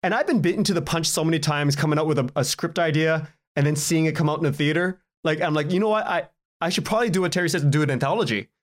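A man speaking casually as a podcast guest, in a halting, rambling way, often repeating words and cutting himself off. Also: on the high side. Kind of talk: casual interview conversation.

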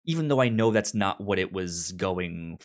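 A man discussing a film casually as a co-host, halting and repeating words as he thinks aloud. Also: low voice, animated. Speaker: movie podcast co-host.

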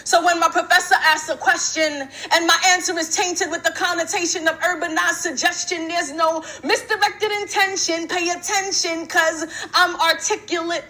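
A woman's voice: very high voice, steady tone, normal speed, few pauses, sharp tone. A young woman performing spoken word poetry on stage, with a rhythmic, rhyming, emphatic delivery.

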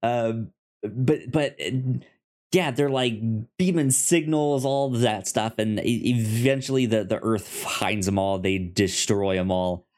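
A man chatting casually as a podcast co-host, halting and loosely phrased, often repeating words. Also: fairly low pitch, a little mellow. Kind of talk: casual film discussion chat.

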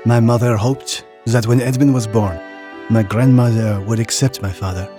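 A man's voice: medium pitch, fairly even intonation, normal speed, over music, close to an everyday voice. A man acting in an audio drama as a reflective grown son recounting family history. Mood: reflective, measured. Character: reflective grown son recounting his parents' troubled marriage.

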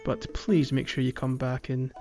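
A man's voice: mid-range voice, fairly even intonation, normal speed, darkish tone. A man hosting a casual gaming podcast, talking in an informal, conversational way. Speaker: podcast host. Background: music.

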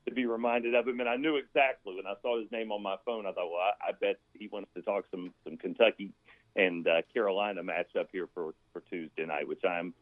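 A man chats casually as a radio guest. His voice is fairly low and comes through a narrow, dry phone line, like a sports announcer calling in. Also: fairly even intonation, a little fast, no room sound. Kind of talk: casual chat, reminiscing. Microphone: phone call.

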